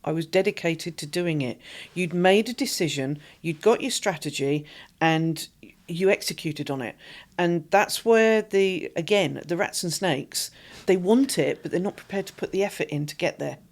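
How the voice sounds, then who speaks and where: A woman's voice: fairly low pitch, moderate pace, few pauses. A woman speaking as a business coach and podcast guest, talking emphatically and forthrightly in a casual interview.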